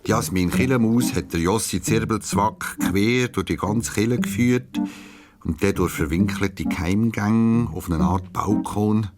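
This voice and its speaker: Deep-voiced Swiss German male storyteller. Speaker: narrator. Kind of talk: scripted storytelling for a children's radio play. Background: music.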